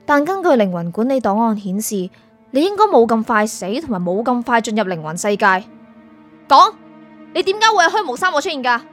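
A woman's voice: on the high side, big pitch swings, brisk, a bit bright. A woman with a Hong Kong Cantonese accent acting a stern, impatient spirit prosecutor in a radio drama, curt and authoritative. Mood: impatient, interrogating. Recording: music behind.

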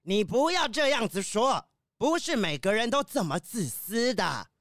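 A voice actor playing a kindly older kiwi bird professor in a children's audio drama, hesitant and gently scolding. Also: mid-range voice, big pitch swings, measured pace, dry recording, clean studio sound. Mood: chiding.

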